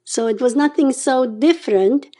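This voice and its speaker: Older woman with a Hungarian-Czech accent, mellow voice. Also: high-pitched, moderate pace. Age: 90s. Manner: storytelling, often adds a questioning "okay?". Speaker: interview guest. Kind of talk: oral history interview.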